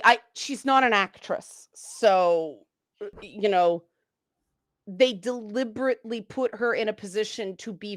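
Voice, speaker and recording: A woman's voice: mid-range voice, no room sound, studio quality. Frank, opinionated woman on a panel. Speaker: panel guest. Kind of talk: casual panel discussion.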